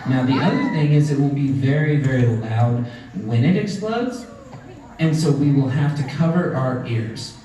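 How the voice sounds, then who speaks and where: A man's voice: mid-range voice, animated, moderate pace, dark tone. A young man giving a live science demonstration to a crowd, explaining each step in an upbeat way to the kids watching. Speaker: science educator. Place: large indoor event hall. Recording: echoey hall, far from the mic, crowd behind. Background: crowd chatter.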